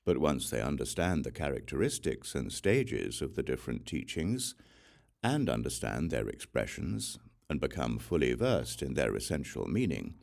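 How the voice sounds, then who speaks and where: A man with an extremely low, gravelly voice reads sacred text as an audiobook. His delivery is solemn and deliberate, and his pitch moves expressively through each measured phrase. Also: a little mellow. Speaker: audiobook reader. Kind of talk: solemn scripture narration.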